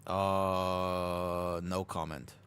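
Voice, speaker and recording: A rambling American streamer with a slightly low, relaxed voice. He talks casually to chat while playing, drifting from topic to topic and laughing easily. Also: very slow, smooth. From United States.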